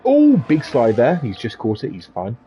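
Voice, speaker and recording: A man's voice: mid-range voice, huge pitch swings, moderate pace, dull audio, slight background noise. A man giving live, chatty commentary on an online racing league, upbeat with playful asides. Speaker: esports race commentator.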